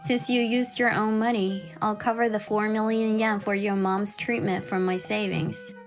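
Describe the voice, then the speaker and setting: A woman playing a wary, hurt young wife who narrates her troubles in an expressive manga dub style. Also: mid-range voice, moderate pace, natural voice. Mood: stern, suspicious. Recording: music behind the voice.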